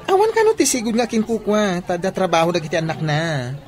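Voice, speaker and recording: An affectionate young Ilocano husband in a radio drama, acted by a man. His voice is notably high for a man. He speaks like someone full of plans. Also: wildly swooping pitch, fast, some chatter behind. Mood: eager, affectionate.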